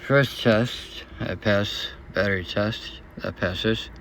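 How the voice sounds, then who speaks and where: A man talking in a casual, unscripted vlog, troubleshooting in a hesitant and unsure, halting way. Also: lowish voice, steady tone, fairly bright tone, slight background noise, poor audio. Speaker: vlogger.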